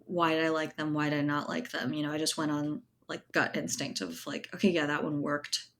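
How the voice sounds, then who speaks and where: A woman with a distinctly low voice speaks as a guest in a casual interview. She explains her thinking thoughtfully, sprinkling in "you know" and "like" as she works through each point. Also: level tone, a little fast, a bit bright, slight background noise. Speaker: interview guest, writer and editor. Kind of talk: casual conversational interview answers.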